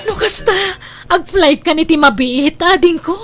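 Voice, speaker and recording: A woman's voice: extremely high pitch, unhurried, over music. A woman playing an insistent, coaxing older sister with schemes in a radio drama. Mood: encouraging. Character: pushy, scheming married older sister urging brother abroad. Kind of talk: Ilocano radio drama acting.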